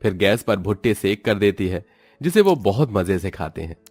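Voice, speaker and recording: A man's voice: lowish voice, big pitch swings, normal speed, darkish tone, no echo, soft background music. A man reading scripted narration as the storyteller of a cartoon moral tale.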